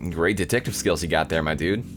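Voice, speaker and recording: A man's voice: low voice, brisk, music in the background, natural voice. A man voicing a laid-back tomboy shark girl in a visual novel, with breezy, slangy talk. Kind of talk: visual novel character dialogue.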